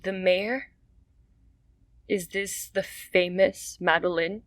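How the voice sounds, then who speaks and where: A woman's voice: on the low side, fairly even intonation, slow, no room sound, studio quality. An actress playing a desperate young mother in an audio drama, pleading and tearful. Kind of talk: radio drama acting.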